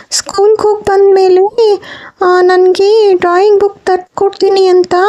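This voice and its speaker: A woman's voice: very high voice, dark tone. A woman voicing a small, demanding, chatty schoolgirl in a children's cartoon story, with whiny, pleading, hesitant childlike phrasing.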